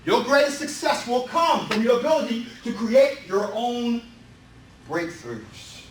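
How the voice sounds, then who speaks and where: A man speaks as a motivational seminar presenter, in a high-pitched voice. He hammers his points with repetition and rhetorical questions. The recording is echoey and distant, with some faint background noise. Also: expressive, measured pace. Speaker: real estate seminar presenter. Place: indoor meetup presentation room.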